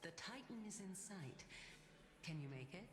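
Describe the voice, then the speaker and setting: A woman's voice: on the low side, lively intonation, a little slow, music in the background. An actress playing a cool, teasing female spirit in a video game, poised and mocking. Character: cool, teasing female spirit living inside a man's head. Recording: long echoing reverb on dialogue.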